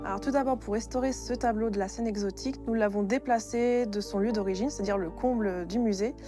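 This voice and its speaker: A woman's voice: on the high side, steady tone, a little fast. A woman representing a museum explains procedures in an orderly, formal way, as in a documentary interview. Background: music.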